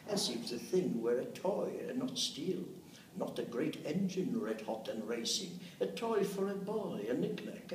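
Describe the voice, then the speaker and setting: A man's voice: medium pitch, fairly bright tone. Elderly man, roomy distant recording. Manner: rhythmic, emphatic delivery when reciting. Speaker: translator reading own verse translation. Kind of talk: expressive poetry recitation with spoken commentary. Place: indoors, meeting room. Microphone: camera or phone a short distance away. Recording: roomy, distant mic with faint noise.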